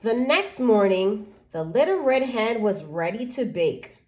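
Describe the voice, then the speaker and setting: A woman reading a children's story aloud as an expressive narrator. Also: medium pitch, a little slow.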